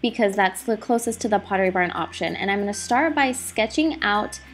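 A woman's voice: mid-range voice, animated, a little fast, reverberant. A young woman vlogging a chatty, encouraging DIY tutorial at home, her voice a little scratchy from a cold. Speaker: mom vlogger. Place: living room at home.

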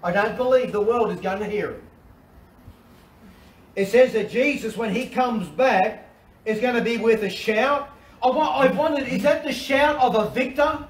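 A middle-aged man with an Australian accent, preaching an animated sermon from a covered outdoor deck, with repetitions and restarts. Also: very high-pitched, normal speed. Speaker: pastor. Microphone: far camera. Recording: roomy and distant with faint ambience.

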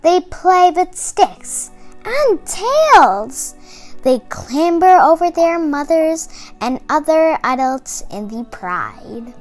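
A young girl reads a children's book aloud in a high, very animated voice. She phrases carefully and takes her time, stressing key words. Soft music plays behind her. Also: slow pace. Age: child, about 8 to 11. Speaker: young presenter reading a picture book aloud. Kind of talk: expressive read-aloud for kids.

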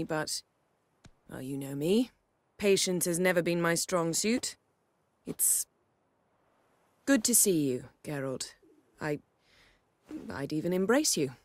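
A woman playing a poised, sharp-tongued sorceress in a video game, speaking in a measured, formal, sardonic way. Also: fairly low pitch, no echo, close to an everyday voice. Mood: cool, restrained. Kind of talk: video game cutscene acting.

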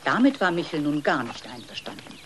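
A woman narrator telling a scripted children's story in a measured storytelling manner. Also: low-pitched, big pitch swings, background noise.

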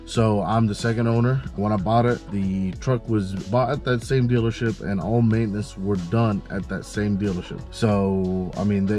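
A young man with a stuffy, nasal voice talks casually to camera from the driver's seat of a parked car. His voice is low and mellow, and he rambles along with plenty of fillers. Soft music plays faintly underneath. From Mississippi. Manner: relaxed, chatty, many fillers. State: congested, nasal. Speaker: car channel host. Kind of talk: casual vlog talk to camera. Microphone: camera on dashboard.

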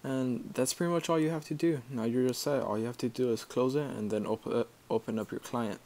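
A man giving a casual screen-recorded software tutorial, explaining step by step in an informal, halting way. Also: medium pitch, steady tone. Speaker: tutorial maker.

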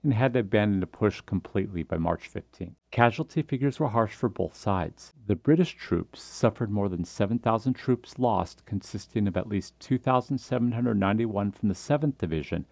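A man's voice: on the low side, animated, moderate pace, gravelly, hardly any pauses, a little mellow, no echo. A man reading a scripted history documentary narration as the narrator.

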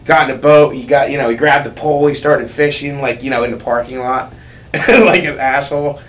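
A man's voice: mid-range voice, brisk. A man in his twenties telling casual, rambling fishing-buddy anecdotes with crude humor in a laid-back way. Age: 20s. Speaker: interviewee, recreational angler. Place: indoors against dark backdrop. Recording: echoey room, faint background noise.

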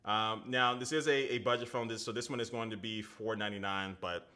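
A man's voice: fairly low pitch, fairly even intonation, brisk, pushed and bright. A man in his thirties hosting a casual live-stream phone unboxing, chatting loosely with viewers and reading their comments aloud. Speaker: tech reviewer. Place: indoor home studio at a desk.

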